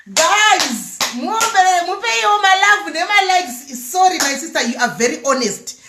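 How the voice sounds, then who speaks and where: A woman's voice: very high voice, lively intonation, measured pace, noisy background. A Zimbabwean woman, a native Shona speaker, hosting a live prank-call show in casual chat that mixes languages. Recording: echoey room.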